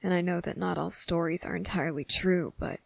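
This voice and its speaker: A woman voicing a guarded, defiant young boy with deliberate, halting, serious delivery in an ASMR roleplay audio drama. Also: fairly low pitch, steady tone, gravelly, no echo. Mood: puzzled, guarded.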